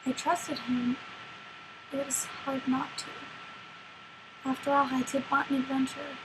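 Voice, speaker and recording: A woman's voice: high voice, level tone, unhurried, background noise. A woman playing a naive teenage girl from a fairy tale in a confiding, wry, wounded dramatic monologue. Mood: hurt, bitter. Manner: conversational, rhetorical questions, wry.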